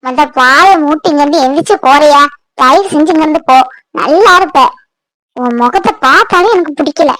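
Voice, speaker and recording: An actress playing a bitter, tearful young bride in a serial, scolding curtly. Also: female voice, sits high, a little fast, a bit bright, no echo, rough recording. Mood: bitter, exasperated. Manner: scolding, curt, emotional. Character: bitter, tearful young bride who scorns her husband. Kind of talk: Tamil TV serial acting.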